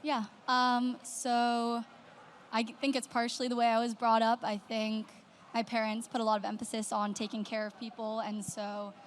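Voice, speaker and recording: A young woman with a fairly high voice answers questions on a conference panel. She sounds earnest and upbeat. Faint room noise sits under her voice. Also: little pitch movement, normal speed. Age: young adult. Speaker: panelist, student. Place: conference stage.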